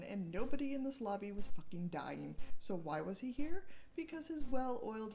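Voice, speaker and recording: A woman's voice: mid-range voice, warm tone, rough recording. A woman author giving a dramatic narrated reading of her thriller novel. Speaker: author reading own book.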